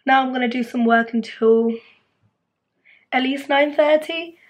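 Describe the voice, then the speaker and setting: A young woman talks to her phone camera with a high, husky voice that is still coming back after illness. She rambles in a chatty, self-deprecating way between the car and her bedroom. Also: fairly even intonation, measured pace, no echo. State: recovering from lost voice. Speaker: dental student vlogger. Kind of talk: casual vlog chat to camera. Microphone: phone camera at arm's length.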